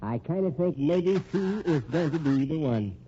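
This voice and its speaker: Casting: vain, touchy old rich man, radio drama, soft mellow drawl, dull vintage sound. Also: fairly high pitch. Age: older. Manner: folksy, boastful, touchy. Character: elderly vain millionaire, smitten with young women. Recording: muffled old radio recording, faint noise.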